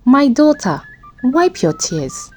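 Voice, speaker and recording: A woman voicing a kindly, solemn old village healer in a storybook tale, speaking deliberately. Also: high voice, big pitch swings, darkish tone, a little hiss or hum, voice pushed up, full character voice. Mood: solemn comforting.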